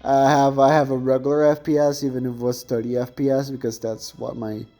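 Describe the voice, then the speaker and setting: A man's voice: medium pitch, flat delivery, a little fast, warm tone, soft background music. A young man with a thin voice chatting casually and rambling while commentating on his gameplay, often correcting himself mid-sentence.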